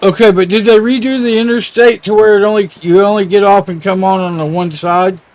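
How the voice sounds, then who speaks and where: Higher-voiced man in casual chat. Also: fairly even intonation, fairly quick, a little mellow, dry recording. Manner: informal, colloquial. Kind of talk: casual chat on a live stream.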